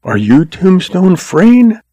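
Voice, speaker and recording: A man's voice: sits high, big pitch swings, takes it slowly, warm tone, husky, no room sound, voice pushed up, exaggerated voice. A man voicing a young, slender schoolteacher woman who speaks in an earnest, trembling, pleading way.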